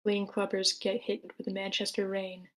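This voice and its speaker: A woman's voice: medium pitch, monotone, moderate pace, a bit bright. A woman reading stage directions in a matter-of-fact way at a screenplay table read.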